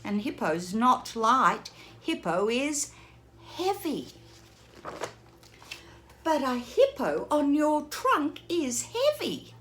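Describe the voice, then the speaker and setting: A woman reading a picture book to young children, slow and unhurried with a lively, sing-song lift in her voice. She gently stops to ask the little listeners questions as she goes. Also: sits high. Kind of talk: children's read-aloud lesson.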